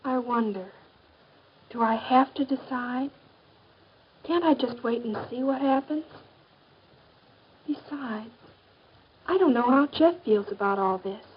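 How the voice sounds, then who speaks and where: An American woman acting as a hesitant, questioning teenage high-school girl in a 1950s educational film. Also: slow pace, long pauses, a little hiss or hum. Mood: doubtful. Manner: questioning, uncertain. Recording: dull, muffled 1950s film soundtrack.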